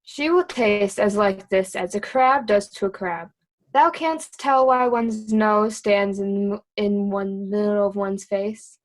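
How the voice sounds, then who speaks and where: A woman's voice: medium pitch, slow pace, lightly acted. A woman playing a cheeky, riddling boy jester with a teasing, sing-song delivery in Shakespeare. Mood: teasing riddles. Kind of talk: Shakespearean reader's theatre acting.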